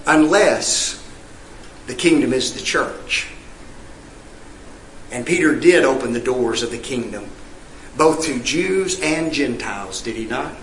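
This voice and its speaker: A man's voice: medium pitch, expressive, takes it slowly, long pauses, a bit bright, echoey room, a little hiss or hum, poor audio. A male preacher, delivering an emphatic sermon full of rhetorical questions and scripture read in old-fashioned wording. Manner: reads scripture in King James wording.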